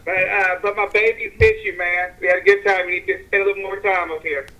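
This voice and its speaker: A man's voice: high-pitched, expressive, fast talker, pushed and bright. A man with a Louisiana Southern accent calling in to a live stream, friendly and drawling, saying y'all. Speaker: caller. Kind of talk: casual phone call. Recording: phone line with faint noise.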